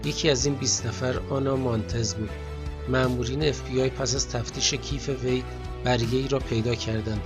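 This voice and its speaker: A man with an Iranian Persian accent narrating a scripted documentary in a measured, formal storytelling manner. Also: mid-range voice, steady tone. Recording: music bed under the voice.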